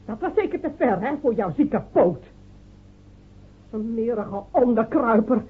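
A woman's voice: on the high side, animated, measured pace, slightly rough, heavily acted. Fierce, scornful woman, swooping voice. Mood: furious, scornful. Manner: taunting, sharp-tongued, needling. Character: fierce, scornful village woman defending her dead man. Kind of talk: radio play acting. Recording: tinny, noisy old radio drama recording.

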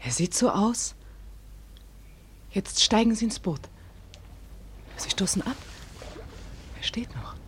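Young daughter, slow, very expressive, dreamy, noisy background, radio drama. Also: female voice, mid-range voice. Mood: fascinated. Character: trader's dreamy young daughter, fascinated and unhurried. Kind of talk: German radio drama acting.

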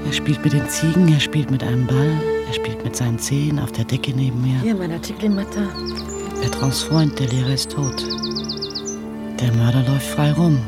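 An actress playing an assertive, tenacious newspaper journalist in a radio drama, direct and self-assured. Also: female voice, very deep voice, moderate pace, over loud music. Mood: wry, restless. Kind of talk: German radio drama acting.

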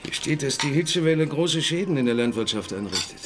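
A man plays a young family father in a radio crime drama. His mid-pitched voice moves in big swings between teasing and touchy defensiveness. A faint noise sits behind him. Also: expressive, fairly quick, lightly acted. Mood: relaxed. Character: young father, teasing, easygoing, easily irritated husband.

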